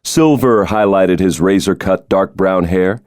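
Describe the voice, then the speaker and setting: A man's voice: low voice, measured pace, darkish tone, dry recording, clean studio sound. A man reading a thriller novel aloud as a scripted audiobook narration.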